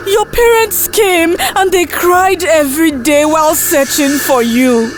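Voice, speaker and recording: A woman's voice: very high voice, a little slow, music in the background, pitched above the performer's own voice. A woman voicing an anxious, emotional female hostel matron, pleading in a dramatic story. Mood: tearful pleading.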